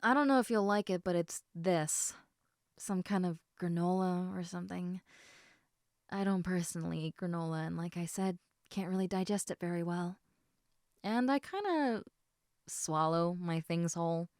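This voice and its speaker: A woman voicing an affectionate, teasing half-snake lamia in a casual, intimate audio roleplay, chatting informally with halting, trailing thoughts. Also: on the low side, a little mellow, dry recording, clean studio sound, natural voice. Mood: casual, hesitant.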